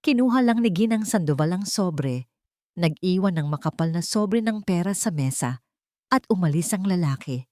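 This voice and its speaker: Low-voiced Filipino woman narrating drama. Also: animated, moderate pace, darkish tone, dry recording, studio quality. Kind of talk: scripted dramatic narration of a romance story.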